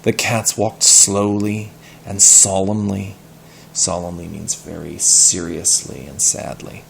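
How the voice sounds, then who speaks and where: A man reading a story aloud expressively, pausing to explain words and ask questions in a patient, teacherly way. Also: low-pitched, little pitch movement, gravelly, fairly bright tone, a little hiss or hum, poor audio.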